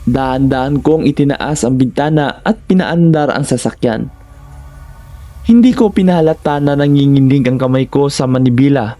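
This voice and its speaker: A Filipino man narrates a scary first-person tale in a soft, mellow voice pitched a little high for a man. He keeps to a measured delivery, setting out short phrases for suspense. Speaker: horror story narrator.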